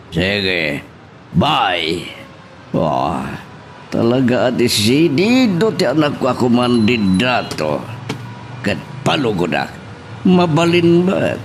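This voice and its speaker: A man's voice: medium pitch, slight background noise. A man with an Ilocano accent playing a proud father in local politics in an audio drama. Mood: brooding.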